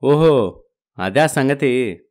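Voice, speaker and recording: A man's voice: mid-range voice, animated, extremely slow, a little mellow. A man playing a middle-aged father-in-law in a story, teasing and questioning in a familiar way.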